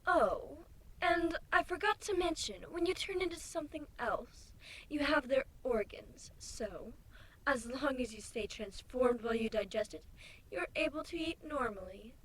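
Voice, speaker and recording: A smirking, sly insect-like queen from a fantasy story reading, put on by a man. The voice is very high, airy and teasing. It sits quietly over faint background noise. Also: unhurried, poor audio. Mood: smug, teasing. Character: Chrysalis, smirking sly female changeling queen.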